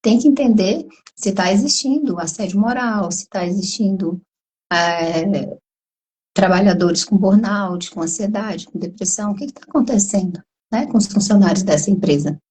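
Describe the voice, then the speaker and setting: A Brazilian woman talks through a video call at an even middle pitch, her voice hoarse from a cold. She explains at length and keeps checking in with little tag questions as she goes. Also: normal speed, low-quality recording. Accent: Brazilian Portuguese. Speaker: psychologist guest. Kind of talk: explanatory live conversation.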